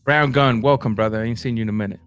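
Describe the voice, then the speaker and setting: A man from Cuba, hosting a casual boxing livestream and reading viewer comments, animated, stumbling over his words and jumping up in pitch. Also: rapid-fire, clean tone, soft background music. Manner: animated, repeats words and restarts, rising to high bursts.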